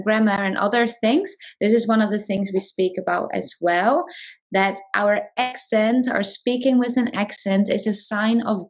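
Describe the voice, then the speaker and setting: A woman's voice: medium pitch, creaky. A woman hosting a casual interview, encouraging and asking guiding questions. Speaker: host.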